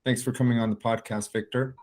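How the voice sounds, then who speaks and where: A man's voice: medium pitch, little pitch movement, quick pace, a little mellow. A man hosting a podcast, introducing and questioning his guest in an interview style. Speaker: podcast host.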